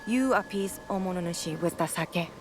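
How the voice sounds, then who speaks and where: A woman's voice: lowish voice, noisy background, close to an everyday voice. A woman playing a solemn shrine priestess. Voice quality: measured, solemn. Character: calm female shrine priestess, solemn and devout. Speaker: game character. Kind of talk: video game cutscene acting. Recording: game audio with music.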